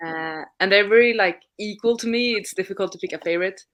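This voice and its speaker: A woman's voice: mid-range voice, fast, fairly bright tone. A woman chatting informally and thoughtfully on a livestream about books, as one of its hosts. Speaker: co-host of a livestreamed reading sprint. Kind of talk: casual unscripted livestream chat about books.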